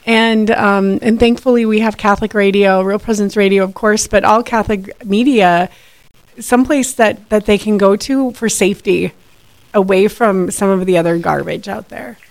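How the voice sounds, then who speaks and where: A woman in the host's chair of a radio talk show speaks at an ordinary mid pitch. She is friendly and conversational, and stumbles a little between thoughts. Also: steady tone, moderate pace. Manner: friendly, with fillers and restarts. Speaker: radio host and executive director.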